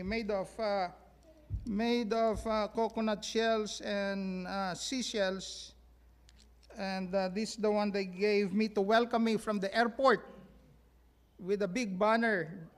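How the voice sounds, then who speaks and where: A man with a Filipino accent giving a mission trip report to his church, halting with many fillers and sounding sluggish from jet lag. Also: high voice. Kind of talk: church announcement talk. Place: church sanctuary.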